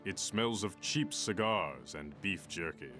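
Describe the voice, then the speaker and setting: Game king: courteous, measured, dry, lowish and animated, clear music behind. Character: regal, courteous male warrior king superhero. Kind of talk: video game character acting.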